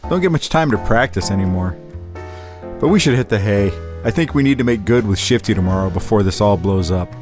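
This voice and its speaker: A man's voice: fairly low pitch, lively intonation, a little fast, music in the background, natural voice. A man playing a roguish, easygoing bum with a wry, flirtatious manner in a noir-flavoured audio drama. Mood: wry, relaxed.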